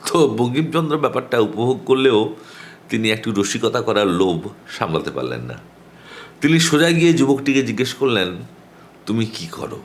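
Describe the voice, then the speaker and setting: A man narrating humorous anecdotes in a conversational way, with dramatic pauses. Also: medium pitch, very animated, slight background noise. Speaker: storyteller.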